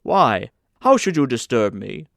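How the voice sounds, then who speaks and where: Audiobook role: gruff ship's stoker, male, vigorous and argumentative, medium pitch, put on by a male reader. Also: very animated, a little slow, no echo, studio quality. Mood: proud, brusque. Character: gruff, blunt, proud grown German ship's stoker, a man. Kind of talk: audiobook character acting.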